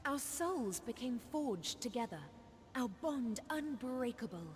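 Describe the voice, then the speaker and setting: An actress playing a proud, sorrowful forest witch in a fantasy video game, telling her tale in measured, formal speech. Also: fairly high pitch, expressive. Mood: sorrowful, reflective. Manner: measured, solemn, formal storytelling. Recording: long reverb on game dialogue.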